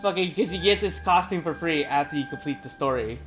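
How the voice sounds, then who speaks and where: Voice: mid-range voice, expressive, a little fast, music in the background. A streamer giving chatty, jokey reactions while playing a game live. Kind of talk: casual live gameplay commentary.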